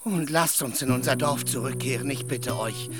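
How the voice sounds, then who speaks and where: A man's voice: medium pitch, normal speed, over loud music, close to an everyday voice. An actor playing a loyal, simple squire in a radio play, anxious and effusive in his pleading.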